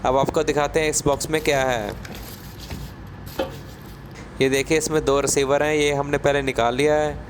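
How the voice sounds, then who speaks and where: Male tech presenter, slightly high pitch, casual step-by-step explainer delivery, faint street noise in the background. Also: level tone, normal speed, long pauses. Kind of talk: casual product unboxing narration.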